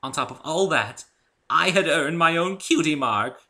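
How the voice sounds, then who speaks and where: A man voicing a refined, theatrical female unicorn fashion designer with genteel, drawn-out emphasis in a story reading. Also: fairly high pitch, animated, bright, forceful tone, voice pushed up. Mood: proud, dramatic.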